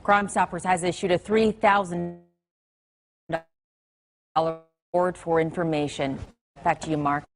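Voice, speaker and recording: A woman's voice: fairly low pitch, steady tone, measured pace, pauses often. An American woman delivering a scripted television news report as a field reporter.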